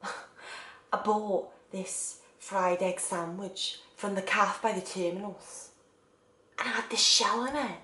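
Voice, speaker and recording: Woman acting lonely wistful lady in a stage monologue, slow with lots of pauses, echoey room. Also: on the high side, a bit bright. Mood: hurt, yearning. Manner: deliberate, broken into short phrases with pauses. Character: lonely, wistful woman confiding in an absent loved one.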